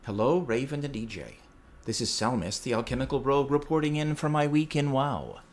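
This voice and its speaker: A man's voice: mid-range voice, fairly quick. A man giving a prepared, recorded listener report for a gaming podcast. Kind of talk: prepared report read aloud.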